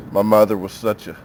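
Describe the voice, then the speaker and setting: A man giving a heartfelt, sincere funeral eulogy as a grieving son, speaking haltingly. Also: fairly low pitch. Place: funeral chapel. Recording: noisy live room recording.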